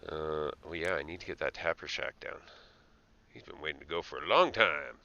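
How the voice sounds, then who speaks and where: A man's voice: extremely low pitch, lively intonation, moderate pace, bright, forceful tone, dull audio. A man giving casual live gameplay commentary, thinking aloud, muttering to himself and tossing playful asides to the characters. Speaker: streamer playing a game.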